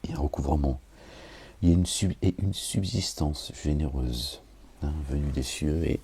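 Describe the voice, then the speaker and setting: A man with an extremely deep, gravelly voice that sits dark and husky. He chats to listeners in a grumbling, wry way before moving into slow, measured scripture reading laced with Arabic invocations. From France. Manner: grumbling, wry asides, addresses listeners directly. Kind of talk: casual talk then solemn scripture reading.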